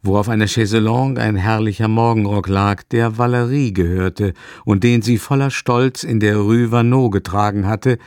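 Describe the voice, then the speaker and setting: A man's voice: lowish voice, fairly even intonation, normal speed, a little mellow, no echo, clean studio sound. A man narrating a literary radio drama from a script, as a storyteller.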